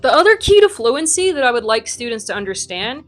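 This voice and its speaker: A woman's voice: fairly high pitch, sing-song, brisk, a bit bright, faint music behind. Chatty young woman teacher. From United States. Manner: chatty, with frequent ums and likes. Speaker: Spanish teacher and academy founder. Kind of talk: casual interview answers.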